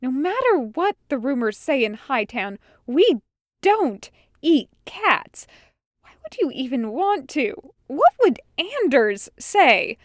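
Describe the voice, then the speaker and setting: A woman's voice: sits high, sing-song, slow pace, no room sound, studio quality, pitched above the performer's own voice, exaggerated voice. A woman voicing an innocent, anxious young woman in a story, flustered, hesitant and eager to please. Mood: hurt, earnest. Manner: flustered, hesitant, literal-minded.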